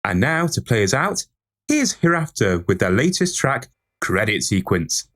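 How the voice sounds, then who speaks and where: Man as a very animated young DJ hopeful. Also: mid-range voice, a little slow, noisy background. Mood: cheery. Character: cheery, eager young male would-be radio DJ.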